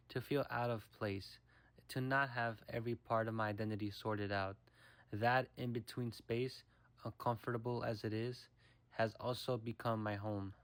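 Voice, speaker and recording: A young man from the United States giving a reflective, measured narration for a personal documentary. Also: lowish voice, no room sound.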